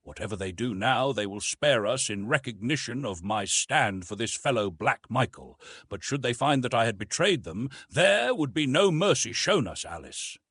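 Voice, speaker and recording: A man voicing a young English lord, a formal and protective gentleman husband, in an audiobook story. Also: mid-range voice, animated, normal speed, few pauses, breathy edge, no room sound, clean studio sound. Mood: earnest.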